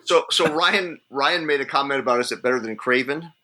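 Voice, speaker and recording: A man on a podcast panel talking casually through his measured opinions in a rambling, hedging way. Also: medium pitch, bright, forceful tone. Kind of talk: casual movie discussion.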